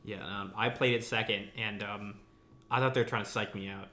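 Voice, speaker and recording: A man's voice: fairly low pitch, fairly even intonation, brisk, sharp tone. A man co-hosting a casual chat, talking in a blunt, slangy, joking way. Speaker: podcast co-host.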